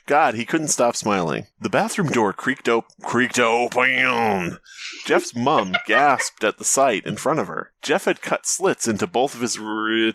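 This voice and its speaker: A man's voice: mid-range voice, a bit bright. A man hosting a comedy podcast, reading a horror story aloud with joking asides. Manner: joking, laughs often. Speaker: podcast host.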